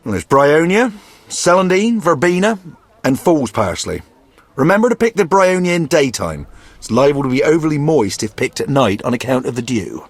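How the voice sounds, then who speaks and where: An actor playing a crusty, wily old man in a video game, chatty and grumbling with a raspy voice. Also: on the high side, big pitch swings, normal speed, dry recording. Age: older.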